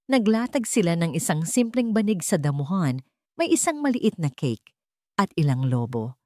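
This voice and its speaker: A woman's voice: fairly low pitch, sing-song, moderate pace, warm tone, no room sound, clean studio sound. A woman with a Filipino accent narrating a scripted dramatic audio story.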